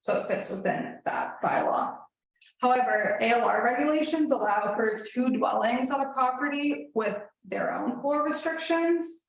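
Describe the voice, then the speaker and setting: A woman staff planner presenting a formal report to a council, then answering questions in a measured, slightly tentative way. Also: on the high side, creaky, a little hiss or hum. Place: council chamber. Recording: very echoey council chamber.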